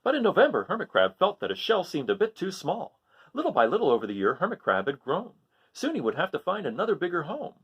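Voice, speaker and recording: A man's voice: high voice, huge pitch swings, fast, breathy edge, lo-fi sound. A man reading a children's book aloud expressively, with informal asides spoken directly to young listeners. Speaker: storybook reader.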